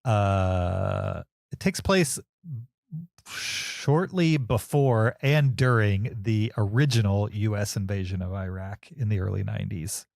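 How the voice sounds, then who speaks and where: A man's voice: on the low side, slow, creaky, darkish tone, no echo, studio quality. A man co-hosting a film podcast, explaining things casually in a hesitant, roundabout way.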